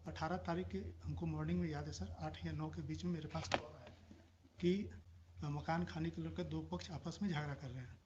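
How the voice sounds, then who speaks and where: A man with a Bihari Indian accent giving formal, defensive testimony as a police officer, deferentially addressing his superior as sir. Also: on the high side. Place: courtroom. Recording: muffled courtroom audio with clear noise.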